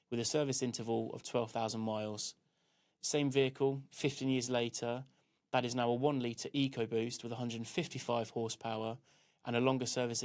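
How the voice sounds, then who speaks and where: Even-pitched male training narrator. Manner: measured, instructional. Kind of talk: scripted narration.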